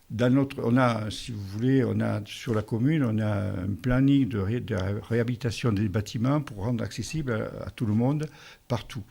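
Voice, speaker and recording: A man, the mayor of a village, presenting a project at a public meeting and answering residents' questions in a halting way, often restarting his sentences. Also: medium pitch, creaky, darkish tone. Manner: halting, restarts, rounding figures loosely.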